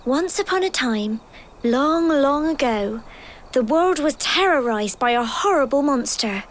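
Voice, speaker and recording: An actress playing a gentle, wistful young village girl in a video game, earnest and soft-hearted, with an English accent. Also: sits high, expressive, unhurried, a little hiss or hum. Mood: wistful, storytelling.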